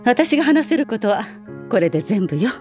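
A woman playing a gentle but guarded schoolteacher who recalls former pupils in an audio drama. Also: a little high, sing-song, moderate pace, faint music behind. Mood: regretful, explaining. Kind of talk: Japanese audio drama acting.

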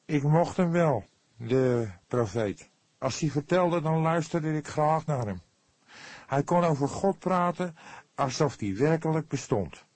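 A man's voice: a little high, expressive, darkish tone, no echo, pitched above the performer's own voice. Een man die in een luisterboek een angstige jonge verdachte speelt, verdedigend, oprecht, emotioneel en soms haperend. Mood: defensive, earnest. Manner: halting, pleading, earnest. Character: anxious young male suspect, defensive and emotional. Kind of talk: audiobook character dialogue.

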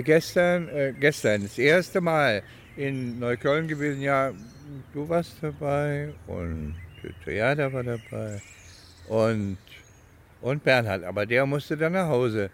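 An older man tells personal memories at a very unhurried pace. His delivery is halting and thoughtful, as in relaxed conversation, with a middle-pitched voice. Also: a little hiss or hum. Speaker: participant in a documentary radio play. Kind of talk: spontaneous personal reminiscence.

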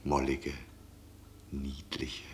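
A man's voice: extremely low pitch, monotone, drawn-out words, slight background noise, heavily acted. A man acting a lecherous, patronising tavern guest in a radio play, smug and wheedling. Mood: flirtatious.

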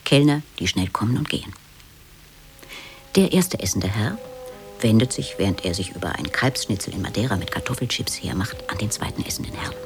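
A man's voice: on the low side, expressive, brisk, faint music behind. A man narrating a radio play, reading out titles and stage directions in a scripted, theatrical style.

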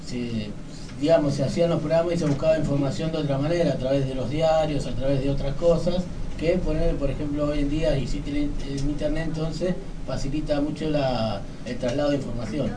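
A man's voice: fairly high pitch, steady tone, a little fast. An Argentine man giving casual interview answers as a radio sports commentator, hesitant, repeating words and often adding verbal tags. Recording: noisy room, distant microphone.

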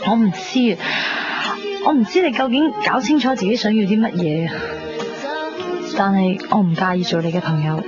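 A woman acting a wounded, wary young woman in a Hong Kong Cantonese radio drama, halting and wistful. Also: medium pitch, natural voice. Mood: hurt, wistful. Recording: dull lo-fi, loud music behind.

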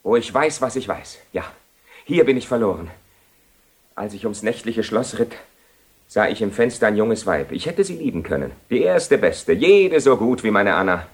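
An actor playing a restless, brooding young nobleman and doubting bridegroom in a theatrical radio drama, with a husky voice. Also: on the low side, big pitch swings, moderate pace, exaggerated voice.

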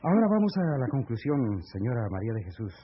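A man's voice: medium pitch, big pitch swings, slight background noise, natural voice. Actor as a formal Mexican man, muffled old radio. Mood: grave, explanatory. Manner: formal, deliberate, explanatory. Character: earnest, courteous man patiently breaking grave news. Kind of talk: Mexican radio serial acting.

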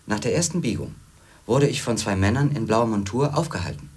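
A disillusioned ex-editor in a radio drama, his voice low. He is brooding and bitter, pressing his points with stubborn insistence. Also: expressive, brisk, darkish tone, breathy edge. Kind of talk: German radio drama acting with inner monologue.

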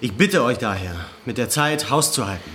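An actor playing the earnest leader of a village uprising in a radio play, speaking in formal oratory. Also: male voice, medium pitch, animated, moderate pace, sharp tone, slight background noise. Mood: earnest, urgent. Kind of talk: German radio play acting.

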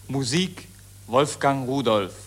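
A man making a formal announcement in the style of vintage radio credits, with measured enunciation. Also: mid-range voice, expressive.

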